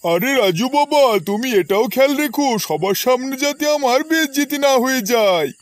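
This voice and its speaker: Cartoon crow mother, male performer: high-pitched, doting, full of bragging. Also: lively intonation, normal speed. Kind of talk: children's cartoon voice acting.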